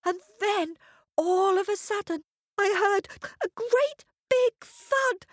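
A woman voicing a timid, nervous little male hare who stammers in a high, squeaky voice. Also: animated, takes it slowly, dry recording, full character voice.

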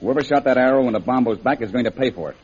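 A commanding safari leader, played by a male actor in a vintage radio serial. The voice is husky and mid-pitched, with firm, declarative delivery, heard on a dull old recording. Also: racing, darkish tone, natural voice. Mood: grimly determined. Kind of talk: 1930s radio serial acting.

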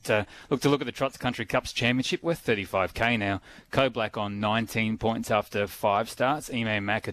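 An Australian man co-hosting a harness racing podcast, giving brisk, informative racing analysis. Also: fairly low pitch, a bit bright.

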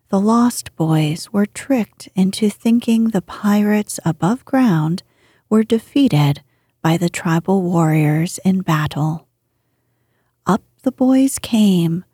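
A very breathy, hushed woman narrates a bedtime story at a slow, gentle pace. Her phrasing is measured and deliberate, and the sound is dry, with faint music behind her. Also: mid-range voice, no room sound. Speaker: podcast host and narrator. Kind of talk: soft, soothing bedtime story reading.

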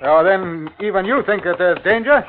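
Actor as grave, uneasy commissioner, tinny old radio. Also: male voice, high-pitched, a little hiss or hum, lightly acted. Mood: uneasy, doubtful. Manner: measured, grave, dramatic delivery. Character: uneasy, awestruck male colonial district commissioner recounting his ordeal. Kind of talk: 1950s radio drama acting and narration. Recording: tinny, band-limited 1950s radio recording, music behind.

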